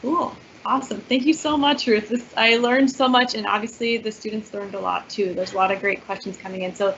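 A woman's voice: medium pitch, steady tone, brisk, vocal fry, a bit bright. A woman moderating a question session online, upbeat and thanking the speaker. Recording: dull video call with faint noise.

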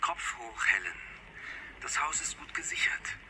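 A man's voice: medium pitch, expressive, a little slow, heavy background noise. An actor playing a caring, reassuring male doctor on the telephone in a radio play.

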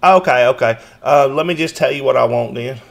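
A man's voice: mid-range voice, fairly quick, creaky. A man making a request in a phone conversation, polite and folksy in his way of talking. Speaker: citizen auditor making a records request by phone. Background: phone call.

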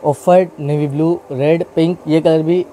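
A man's voice: fairly high pitch, fairly even intonation, normal speed, warm tone, a little hiss or hum, rough recording. A man who sells clothing wholesale, going through stock in a shop and listing prices and colours in a matter-of-fact way. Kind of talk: product walkthrough for customers.

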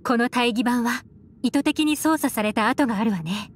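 A woman voicing a composed, shrewd adult investigator in an anime game, explaining in a cool, measured, analytical way. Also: a little high, close to an everyday voice. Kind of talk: Japanese anime game voice acting. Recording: music under the voice.

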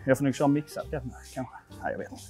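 A man in his twenties from Sweden chatting casually to viewers in a gym vlog, informal, sweary and self-deprecating. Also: mid-range voice. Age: 20s. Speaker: vlogger testing his lifts at a gym. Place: indoor gym. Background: music.

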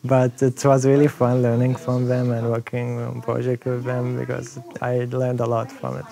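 Mellow Iraqi man, even delivery. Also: mid-range voice, moderate pace, gravelly. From Iraq. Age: 20s. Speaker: engineering student. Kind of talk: unscripted interview testimonial. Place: busy classroom studio, indoors. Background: soft background music.

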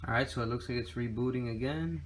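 A man's voice: medium pitch, fast talker, slight background noise, low-quality recording. A man hosting a casual tech tutorial, explaining steps one by one with frequent fillers, recorded on a handheld phone camera in a room. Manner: relaxed, step-by-step with frequent fillers. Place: indoor room with desk and computer.

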